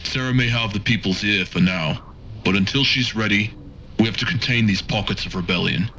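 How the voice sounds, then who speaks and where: A man playing a calculating, measured rebel mentor in a video game, speaking deliberately and rhetorically. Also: lowish voice, pushed and bright, muffled recording, a little hiss or hum. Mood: grave, persuasive. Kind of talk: cinematic video game dialogue.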